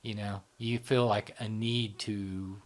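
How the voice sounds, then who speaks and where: A man's voice: fairly low pitch, little pitch movement, slow pace. A man hosting a podcast, explaining ideas in a loose, rambling way with many fillers and tag questions. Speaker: podcast host. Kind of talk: casual explanatory chat.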